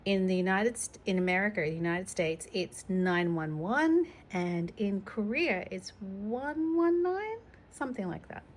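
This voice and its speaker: Slow-paced woman reading a children's storybook. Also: medium pitch, animated. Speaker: storytime reader. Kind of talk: reading a children's picture book aloud with chatty asides to a young child.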